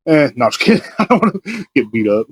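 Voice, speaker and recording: A man's voice: a little high, sing-song, fast talker, breathy edge. A man from Texas co-hosting a podcast, chatty and joking, laughing often in casual conversation. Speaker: podcast co-host. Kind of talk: casual chat.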